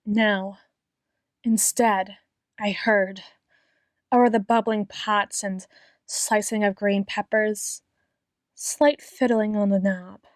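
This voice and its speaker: A woman playing an obsessive, menacingly tender doctor in an ASMR roleplay, speaking in a deliberate, theatrical monologue with drawn-out words. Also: medium pitch, steady tone, dry recording, studio quality, natural voice. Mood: quiet menace. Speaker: audio roleplay performer.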